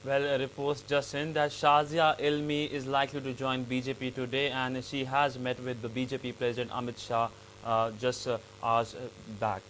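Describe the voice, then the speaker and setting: A man with an Indian accent anchoring a news bulletin, reading with some halting hesitations. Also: medium pitch, completely flat delivery, fairly bright tone, a little hiss or hum, poor audio.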